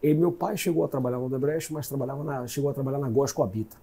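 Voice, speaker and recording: A man in his forties with a Bahian accent tells his story in a calm, measured way. His voice is husky and softly mellow. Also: medium pitch. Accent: Bahian Portuguese. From Salvador, Brazil. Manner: reflective, measured recounting of his career. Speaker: guest executive. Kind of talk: interview storytelling.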